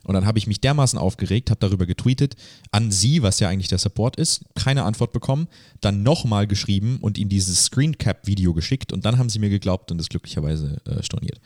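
A man's voice: on the low side, quick pace, darkish tone, no room sound, clean studio sound. A man hosting a podcast, explaining things in a casual, rambling, colloquial way. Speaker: podcast host. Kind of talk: casual conversational podcast chat.

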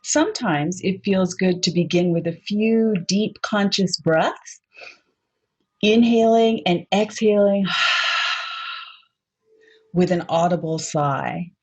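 A woman leading a guided meditation in a soft, unhurried, gently inviting way, on a dull lo-fi recording. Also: medium pitch, breathy edge.

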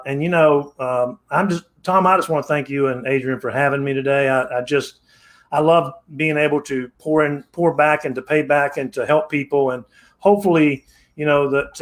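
A man in his sixties, a podcast guest, giving motivational advice in a preachy, repetitive way and often restarting his phrases. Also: medium pitch. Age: 60s. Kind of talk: motivational interview talk.

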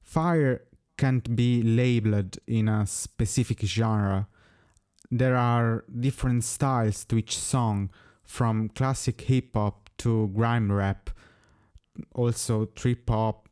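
Italian man, native Italian speaker, musician guest; unhurried and measured, mellow voice. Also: fairly low pitch, steady tone, smooth. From Italy. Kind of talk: prepared interview answers.

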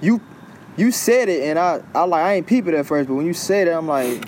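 A man's voice: fairly high pitch, expressive, a little fast, darkish tone, a little hiss or hum. A man co-hosting a podcast, chatting casually and agreeably, often echoing what his co-host says. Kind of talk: casual chat.

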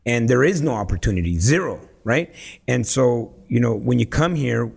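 Salvadoran-born investor guest, gravelly husky voice, chatty storyteller. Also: male voice, medium pitch, normal speed. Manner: animated storyteller, frequent "you know" and "right?". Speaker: guest, real estate investor. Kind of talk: casual podcast conversation.

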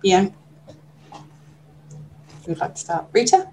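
A woman's voice: mid-range voice, sing-song, takes it slowly, warm tone, slight background noise. A woman moderating a panel discussion, putting questions to guests and chatting with frequent ums.

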